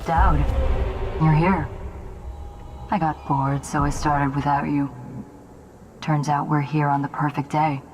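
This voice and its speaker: An actress playing a cocky young assassin lieutenant who talks in a brisk, matter-of-fact way. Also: female voice, deep voice, steady tone, a little mellow, soft background music.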